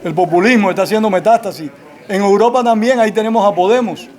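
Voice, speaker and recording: High-voiced Cuban man, measured and firm. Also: a little mellow, some chatter behind. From Cuba. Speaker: author presenting his book. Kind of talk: answering audience questions at a book presentation.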